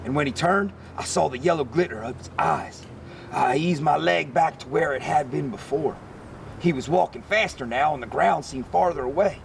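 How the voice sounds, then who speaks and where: A man reading a children's picture book aloud as an expressive narrator. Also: fairly high pitch, moderate pace, gravelly, a little hiss or hum. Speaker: story reader.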